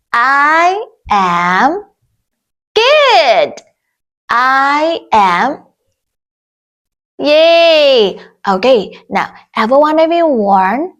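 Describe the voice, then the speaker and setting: A young Vietnamese woman teaching English to children, speaking slowly with exaggerated repetition of each phrase. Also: high-pitched. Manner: slow, exaggerated, repeats every phrase for young learners.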